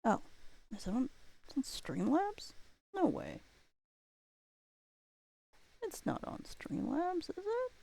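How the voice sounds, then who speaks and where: A woman chats casually as a livestream host, rambling and playful as she mutters to herself. Her pitch moves around a great deal, often dropping into vocal fry. The sound is close and dry. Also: wildly swooping pitch, slow, long pauses, dark tone, no echo. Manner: rambling, talks to self while working, playful.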